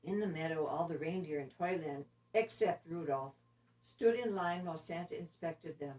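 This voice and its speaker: A woman narrating a children's story expressively, with deliberate, dramatic phrasing. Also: low-pitched, darkish tone. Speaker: storybook reader. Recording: distant microphone.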